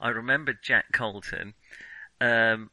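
A man's voice: medium pitch, fairly even intonation, slow, pushed and bright, no echo, low-quality recording. A middle-aged man in casual discussion as a film podcast co-host, reflective and given to hedging his remarks.

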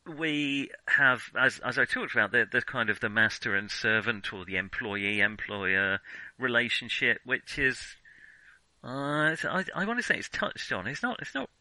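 A man in a casual film discussion, talking in a halting way and often correcting himself mid-sentence. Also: mid-range voice, expressive, bright, forceful tone, no echo, poor audio. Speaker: film podcast co-host.

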